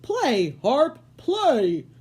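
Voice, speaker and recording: A woman reading aloud, voicing a huge, greedy, bad-tempered male giant with slow, roaring commands. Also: fairly low pitch, lively intonation, full character voice. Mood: bellowing.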